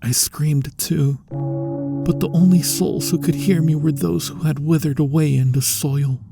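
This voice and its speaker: Man playing a slow, haunted, anguished vampire. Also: a little high, fairly even intonation, loud music behind the voice. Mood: horrified, grim. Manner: hushed, breathy phrase endings, deliberate dramatic pauses. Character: anguished male vampire, formerly human, confessing to lost love. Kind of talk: slow dramatic ASMR roleplay monologue.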